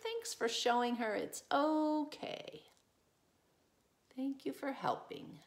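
A middle-aged woman reading a children's storybook aloud, adding gentle, reassuring asides in simple phrases for young children. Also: sits high, animated, takes it slowly, slight background noise. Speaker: preschool teacher reading a picture book aloud. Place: small indoor room with desk and shelves.

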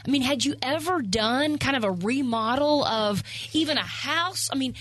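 A woman's voice: high voice, expressive, normal speed, fairly bright tone, no room sound. A woman interviewing guests conversationally as a radio host, on a close studio microphone.

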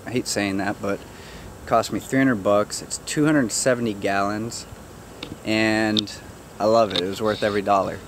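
A man casually showing viewers around his backyard garden outdoors, chatty and relaxed, joking with his animals. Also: fairly low pitch, normal speed, noisy background. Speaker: gardener giving a backyard tour. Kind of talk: casual walk-through narration.

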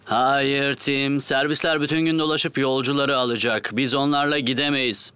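A man's voice: mid-range voice, fairly even intonation, moderate pace, bright, forceful tone, clean tone, no echo, close to an everyday voice. An actor playing a busy, affectionate father of young twins, patiently instructing them in a dubbed children's drama. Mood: brisk, patient. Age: 30s. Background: music.

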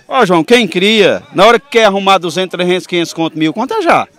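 A man's voice: high voice, big pitch swings, fast talker, fairly bright tone. A middle-aged man, hosting roving interviews at an outdoor livestock market with a handheld mic, folksy and upbeat. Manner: folksy, upbeat, addresses viewers directly. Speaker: host.

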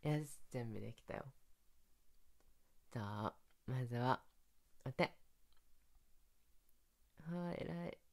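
A man's voice: medium pitch, lively intonation, slow, creaky, long pauses, a little mellow. A young man acting as an affectionate dog owner in an ASMR roleplay, cooing and playfully baby-talking to his pet. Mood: doting. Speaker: ASMR voice performer. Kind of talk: Japanese ASMR situation voice roleplay.

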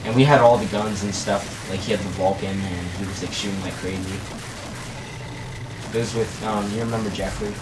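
A man's voice: on the low side, steady tone, fairly quick. A man chatting casually while playing a co-op video game, rambling and laid-back with lots of filler words. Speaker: co-op game commentator. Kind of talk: casual gaming chat. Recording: echoey room, distant mic, game noise. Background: video game sounds.

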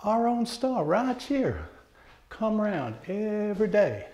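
A man's voice: sits high, very animated, slow pace, warm tone, dull audio, voice pushed up, full character voice. A man reciting a narrative poem as a plainspoken, reflective country man with an American country accent. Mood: wistful, wondering. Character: plainspoken, wondering country man musing on life. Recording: echoey room with faint background noise.

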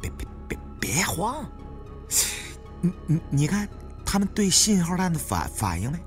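A man's voice: sits high, very animated, measured pace, soft background music, pitched above the performer's own voice. A man voicing a stuttering male tomb raider sidekick in an audiobook, repeating syllables as he talks. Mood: urgent, reassuring.

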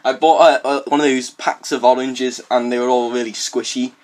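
A young adult British man making a casual prank call in a halting, hesitant manner. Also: mid-range voice, flat delivery, a little mellow. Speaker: prank caller.

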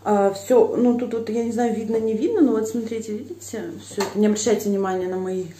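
A woman's voice: on the high side, fairly even intonation, quick pace, dark tone, reverberant, a little hiss or hum. A woman in her thirties chatting to her viewers in a casual home vlog, filmed on a phone held at arm's length. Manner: chatty, addresses viewers directly. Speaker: vlogger. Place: small room indoors at night.

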